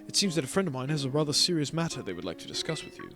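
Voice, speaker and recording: A man's voice: on the high side, big pitch swings, over music. A man reading aloud the lines of a courteous, formal male academy director in a video game. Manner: courteous and measured.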